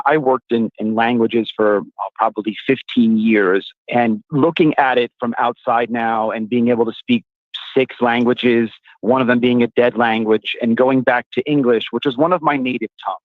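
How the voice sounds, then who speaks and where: A man's voice: medium pitch, fairly even intonation, no echo. Man on a tinny phone line. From Italy. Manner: measured, a bit halting, repeats words. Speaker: guest. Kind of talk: casual chat.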